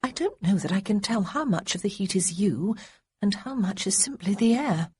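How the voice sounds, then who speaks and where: A woman voicing Honoria, a nervous, tender young gentlewoman from a storybook romance. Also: mid-range voice, lively intonation, slightly rough. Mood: anxious. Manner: fluttery, quick, softly anxious.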